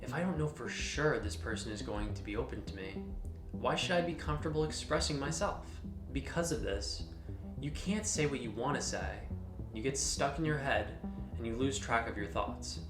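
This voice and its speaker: A man giving a scripted explainer in a measured, instructional manner, speaking directly to the listener. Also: mid-range voice, roomy sound. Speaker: host of a mental health explainer channel. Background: background music.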